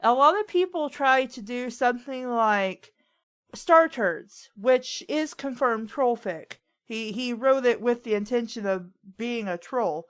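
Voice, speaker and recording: A woman with a fairly high voice talks casually as a show host. She rambles through her opinions in a conversational storytelling way. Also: expressive, measured pace. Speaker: host of a fan fiction reading show. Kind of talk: casual chat.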